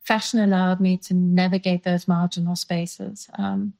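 A woman's voice: lowish voice, little pitch movement, measured pace, gravelly, darkish tone. A woman in her fifties from South Africa, raised speaking Dutch, recalling her past thoughtfully in an interview with frequent hedges and restarts. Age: 50s. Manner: thoughtful, many fillers and restarts. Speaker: guest. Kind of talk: reflective interview.

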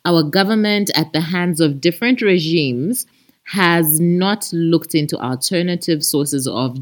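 A woman with a Zambian accent hosting a chatty podcast, talking expressively and addressing her listeners like friends. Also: low-pitched. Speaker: podcast host. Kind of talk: chatty podcast monologue with announcements.

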